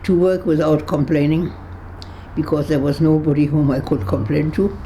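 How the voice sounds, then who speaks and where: A woman over one hundred, from Berlin, recalling her past in an interview, halting and searching for words with frequent restarts, and laughing easily with dry humor. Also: deep voice, steady tone, dark tone, slight background noise, rough recording. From Berlin, Germany. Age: 100s. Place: her home on a kibbutz.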